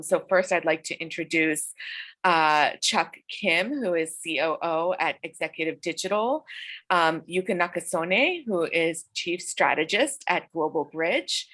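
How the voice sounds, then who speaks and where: A woman's voice: low-pitched, bright, forceful tone. A woman moderating an online panel over a video call, talking with many fillers and restarts. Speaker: webinar moderator.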